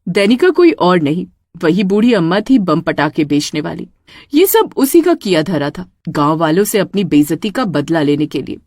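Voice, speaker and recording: A woman's voice: on the low side, very animated, moderate pace, darkish tone, no echo, clean studio sound. A woman narrating a scripted dramatic story as storyteller.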